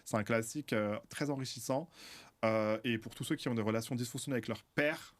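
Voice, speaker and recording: A young man from France, a podcast guest, chatting casually with lots of fillers and restarts. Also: fast. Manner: chatty, many fillers and restarts, bursts of high laughter. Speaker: podcast guest, book content creator.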